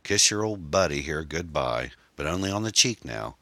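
A very deep, forceful male villain acted by an audiobook narrator, jealous and sneering. He drawls out taunts and threats in a folksy, foul-mouthed manner, recorded close and dry. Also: lively intonation, moderate pace, no echo, full character voice. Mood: sardonic.

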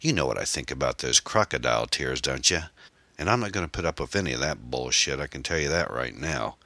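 A very deep, forceful male villain acted by an audiobook narrator, jealous and sneering. He drawls out taunts and threats in a folksy, foul-mouthed manner, recorded close and dry. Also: lively intonation, brisk, dry recording, heavily acted. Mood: angry, menacing.

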